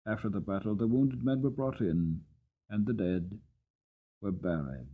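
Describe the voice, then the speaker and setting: A man voicing an old war horse in a storybook, mournful and proud, with solemn, measured, emotive delivery. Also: lowish voice, husky, narrow, tinny audio, voice pushed down. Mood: grieving, grave. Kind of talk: dramatic audiobook reading.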